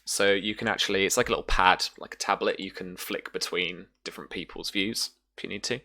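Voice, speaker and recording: A man's voice: deep voice, fairly even intonation, fairly quick, creaky, bright, forceful tone, no echo. A man casually narrating and explaining rules as a game master, feeling his way through explanations piece by piece.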